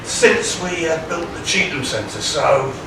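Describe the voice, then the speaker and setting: A man's voice: on the high side, takes it slowly. Man playing rambling ragged panto prisoner, very echoey. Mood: rambling, confiding. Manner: rambling, comic storytelling with hesitations. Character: comic pantomime ragged old prisoner, former chambermaid Potty Pat. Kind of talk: stage pantomime comedy acting. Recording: very echoey hall, distant mic, clear noise.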